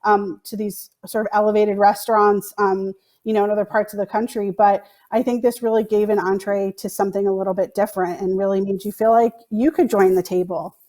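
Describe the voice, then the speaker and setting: A woman with a medium-pitched voice talks as a producer on a panel. Her delivery is conversational and unscripted, like someone explaining her work. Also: level tone, a little fast, few pauses, warm tone.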